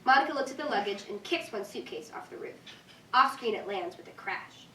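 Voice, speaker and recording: A woman's voice: mid-range voice, animated, a little fast, sharp tone. A woman reading stage directions as scripted narration at a staged reading. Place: room with live reading. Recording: echoey room, distant mic, faint noise.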